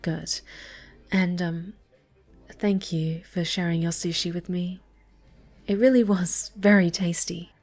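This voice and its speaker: A woman voicing a snobby, bratty but secretly soft young cat girl in an ASMR roleplay, with a haughty, pouty manner and flustered stammering. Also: fairly low pitch, steady tone, takes it slowly, long pauses, faint music behind. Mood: sheepish, softening.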